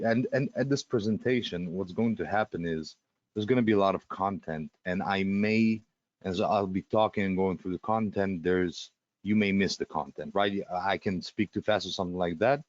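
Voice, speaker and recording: A man's voice: sits low, normal speed, no echo, muffled recording. A man with a Russian accent hosting a live webinar, chatty and full of fillers and restarts as he talks to the attendees. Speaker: webinar host.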